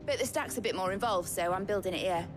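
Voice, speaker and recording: An actress playing a brash, enthusiastic young engineer in a game cutscene, with a Northern English accent and colloquial, boastful delivery. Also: female voice, mid-range voice, fast, natural voice. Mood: proud, eager. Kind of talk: lively game cutscene acting. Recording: music behind the voice.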